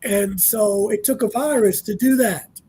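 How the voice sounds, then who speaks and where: A man's voice: high-pitched, unhurried, warm tone, a little hiss or hum. A man in his sixties, a panel guest speaking in a reflective, easygoing way on a casual radio talk show. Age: 60s.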